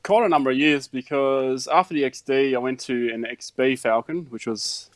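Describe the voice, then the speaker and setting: A middle-aged man from Denmark, a guest on an interview show, telling stories in a relaxed way with plenty of fillers and chuckles. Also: mid-range voice, normal speed, no echo. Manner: laid-back storytelling with fillers and chuckles. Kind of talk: casual chat.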